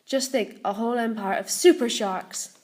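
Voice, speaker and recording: A woman's voice: a little high, moderate pace, noisy background, close to an everyday voice. A woman voicing an evil octopus queen in an audiobook story, with sinister, mocking, theatrical gloating.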